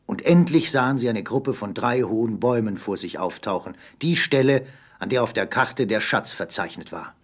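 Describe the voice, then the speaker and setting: A man's voice: on the low side, normal speed. A man narrating an adventure audio play as a scripted, dramatic storyteller.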